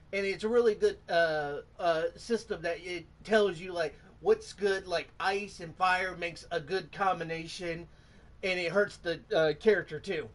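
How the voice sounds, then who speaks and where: A man hosting a gaming channel, giving casual, halting commentary and often groping for words. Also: high voice. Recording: voice distant from the mic.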